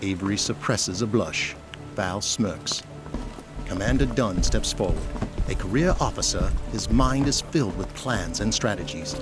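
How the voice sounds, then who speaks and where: A male narrator with a fairly deep voice reads scripted narration for an audio drama. Music is clearly audible behind him throughout. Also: animated, a little slow.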